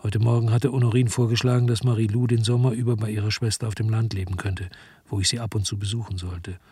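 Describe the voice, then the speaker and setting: An actor playing a weary, dogged French detective who narrates his noir radio crime story in a measured, laconic way. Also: lowish voice, little pitch movement, dark tone, breathy edge, dry recording. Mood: weary, reflective. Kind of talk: first-person narration in a noir radio drama.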